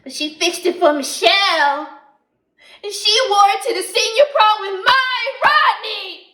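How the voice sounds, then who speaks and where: An unhinged, high-voiced jealous young woman. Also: fairly bright tone. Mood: furious, wounded. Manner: slow, deliberate storytelling swinging into bitter outbursts. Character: embittered, unhinged jealous young woman wronged by her sister. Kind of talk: dramatic stage monologue. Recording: roomy echo, distant microphone.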